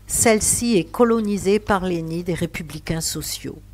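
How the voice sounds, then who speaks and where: A woman narrating her road trip in a travel vlog, unhurried and with hesitant fillers. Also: on the low side, darkish tone, a little hiss or hum. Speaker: travel vlogger. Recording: faint music in background.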